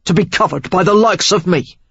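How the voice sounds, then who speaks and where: A woman voicing a rough, intense man with a Cockney accent, speaking hoarsely and commandingly. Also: lowish voice, fairly quick, dry recording, lo-fi sound, voice pushed up. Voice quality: husky, hoarse.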